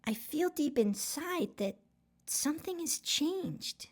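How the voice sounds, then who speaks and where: A woman's voice: high-pitched, expressive, takes it slowly, pitched above the performer's own voice. A woman voicing an earnest, polite little boy in a children's storybook read-aloud. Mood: earnest, unsettled.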